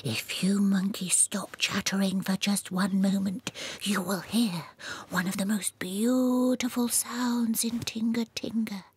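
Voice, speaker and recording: A woman voicing a gentle, anxious female mole in a children's cartoon, with a fretful, hushing manner. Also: high-pitched, animated, takes it slowly, few pauses, full character voice. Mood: hushed. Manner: fretful, hushing, often breathy whispering. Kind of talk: children's animated cartoon voice acting.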